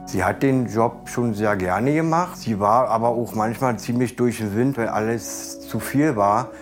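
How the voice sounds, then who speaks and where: A man's voice: mid-range voice, a little slow. A man giving a spontaneous interview for a documentary, recounting things in everyday words. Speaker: interviewee, former tenant or acquaintance. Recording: clear music behind the interview.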